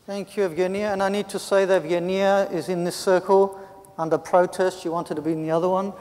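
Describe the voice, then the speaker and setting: A man in his fifties moderating a panel in a church hall, relaxed and joking, passing around a handheld mic. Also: high voice, fairly quick. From Australia. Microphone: shared handheld mic. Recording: echoey hall.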